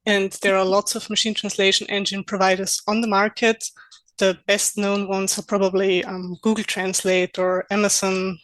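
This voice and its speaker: A young woman from Austria, German her first language, answers interview questions in English. She explains things in an earnest, methodical way, at a middle pitch. The sound is dry and close, with no room around her voice. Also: little pitch movement, moderate pace, a bit bright, dry recording, low-quality recording. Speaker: podcast guest, localization project manager.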